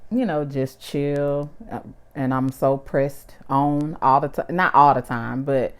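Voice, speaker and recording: Low-voiced Southern woman chatting. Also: fairly even intonation, takes it slowly, smooth. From American South. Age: 40s. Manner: chatty, lots of fillers, bursts of laughter. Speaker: vlogger talking with her partner. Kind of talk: casual couple chat.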